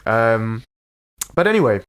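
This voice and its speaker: A man's voice: lowish voice, big pitch swings, measured pace, fairly bright tone, smooth. A man co-hosting a podcast while ill with a cold, talking casually and hesitantly, with many fillers and restarts. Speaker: podcast co-host. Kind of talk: casual chat.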